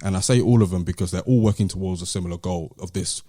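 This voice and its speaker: A man's voice: deep voice, fast talker, warm tone, no room sound, clean studio sound. A British man in a casual podcast discussion, explaining his view in a careful, hedging way. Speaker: podcast host.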